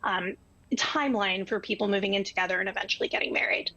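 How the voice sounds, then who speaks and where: A woman's voice: medium pitch, fast, vocal fry, pushed and bright, dry recording, dull audio. A woman giving commentary as a guest expert in a TV interview, over remote-link audio.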